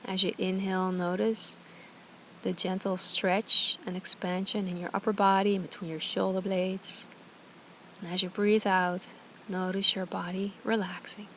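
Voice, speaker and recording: A woman teaching yoga and guided meditation, speaking calmly and soothingly in short, gentle instructions. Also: medium pitch, measured pace, slight background noise. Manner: calm, gentle, soothing guidance with short phrases.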